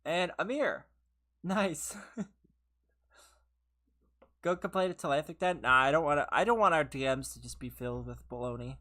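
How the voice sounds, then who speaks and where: A woman's voice: low-pitched, animated, normal speed, pauses often, a bit bright. Tired, laughing woman streaming a game. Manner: laughs often, playful teasing of chat. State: tired and losing her voice. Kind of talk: casual live gameplay commentary with chat. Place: her own room at home.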